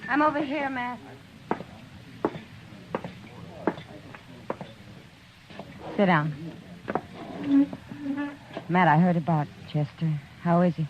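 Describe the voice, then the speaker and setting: A woman's voice: on the low side, big pitch swings, unhurried, background noise. Worried Old West woman, muffled. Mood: concerned. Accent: American. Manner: earnest, concerned. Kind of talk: old-time radio western acting. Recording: muffled vintage radio recording.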